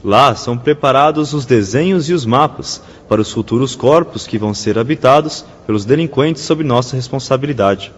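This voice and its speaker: An actor playing a courteous, patient official in a radio drama, explaining things in a formal, measured, didactic way. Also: male voice, mid-range voice, in a large hall, natural voice. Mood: solemn, explanatory. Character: courteous male spirit-world official patiently explaining procedures.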